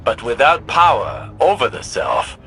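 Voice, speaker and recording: A man's voice: mid-range voice, huge pitch swings, a little slow, bright, forceful tone, slight background noise, exaggerated voice, poor audio. A man playing a learned scholar in a video game, narrating lore in a pensive, precise manner. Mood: pensive, lecturing. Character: learned male scholar of cosmic forces.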